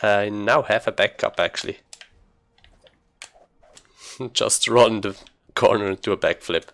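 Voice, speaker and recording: Guy with a lowish voice talking through his speedrun, kinda halting, just thinking out loud. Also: gravelly. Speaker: speedrunner commentating own gameplay. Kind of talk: casual live gameplay commentary.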